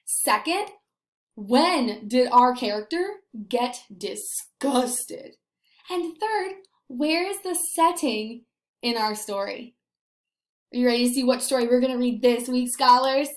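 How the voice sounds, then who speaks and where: Female early-grades teacher, higher-pitched and unhurried, expressive questioning style, reverberant room. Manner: expressive, animated questions with pauses for young children. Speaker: elementary school teacher. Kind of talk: children's read-aloud lesson to camera. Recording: echoey room.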